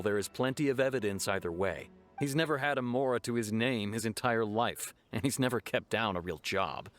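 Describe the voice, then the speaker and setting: An actor playing a stern, earnest law officer in a video game, speaking in a formal, measured way. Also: male voice, fairly low pitch, dry recording, natural voice. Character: stern, earnest male law officer giving case report.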